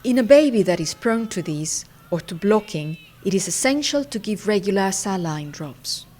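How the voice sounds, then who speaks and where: A woman's voice: medium pitch, animated, normal speed, a little mellow. Instructional woman narrator with faint background noise. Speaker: training film narrator and instructor.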